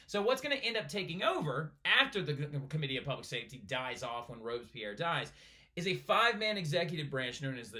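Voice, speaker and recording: Brief: quick, bright male teacher voice, a little high, lecturing casually with constant "right?" check-ins. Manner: fast, animated, keeps asking "right?". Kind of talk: recorded lecture for a flipped class.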